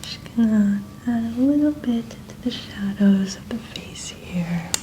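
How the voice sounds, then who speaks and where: Softly whispering woman, mellow ASMR voice. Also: on the high side, animated, unhurried, slight background noise. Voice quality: soft, breathy half-whisper. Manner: gentle, casual, murmured explaining. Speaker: ASMR makeup artist working on a model. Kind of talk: soft-spoken whispered ASMR.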